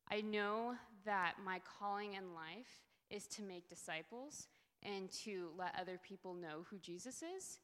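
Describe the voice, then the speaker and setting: A woman's voice: medium pitch, fairly bright tone. A woman who works in a campus ministry, earnestly telling a story in a speech that introduces the next speaker. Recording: long hall reverb.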